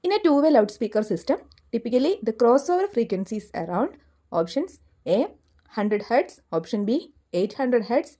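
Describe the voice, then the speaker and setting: Malayali lady exam tutor reading out quiz stuff, really slow n deliberate, mellow voice. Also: fairly high pitch, animated. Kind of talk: scripted quiz lesson, reading questions and options.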